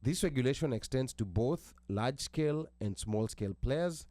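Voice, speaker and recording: An American man hosts a talk radio discussion, reading a passage aloud in halting bursts with frequent restarts. His voice sits at a middling pitch. The recording is dry and close. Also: animated, dark tone, no room sound. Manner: reads haltingly with restarts, asks about pronunciation. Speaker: radio show host.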